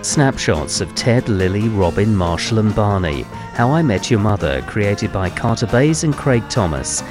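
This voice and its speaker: A man delivers scripted descriptive narration in a low voice. His pitch moves widely, and guitar music plays clearly behind him. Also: very animated, measured pace.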